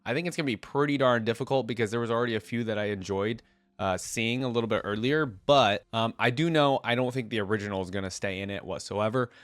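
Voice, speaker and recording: A man's voice: fairly low pitch, fast, a bit bright, dry recording. A man with a stuffy, congested voice from a cold, giving casual, rambling reaction commentary as a video host, thinking aloud as he goes. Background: game theme music.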